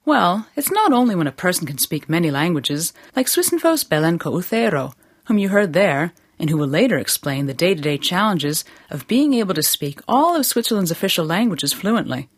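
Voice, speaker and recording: Radio presenter woman, lower pitch, husky, dry studio. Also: sing-song, normal speed, no room sound. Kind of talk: scripted radio introduction.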